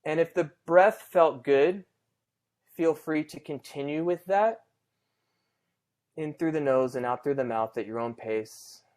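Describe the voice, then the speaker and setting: Clear-voiced man gently guiding meditation. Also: fairly high pitch. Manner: gentle, reassuring, unhurried with long pauses. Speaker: meditation guide. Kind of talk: guided meditation, conversational and unscripted.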